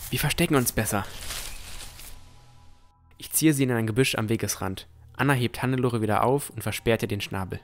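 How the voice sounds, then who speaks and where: Ein Mann spielt einen vorsichtigen jungen Entdecker, der sein Fantasy-Abenteuer bedacht und ernsthaft in der Ich-Form erzählt. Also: male voice, mid-range voice, a little fast, pauses often, soft background music, lightly acted. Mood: wary. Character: cautious, thoughtful young male explorer narrating his adventure. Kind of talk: first-person scripted narration in fantasy audio drama.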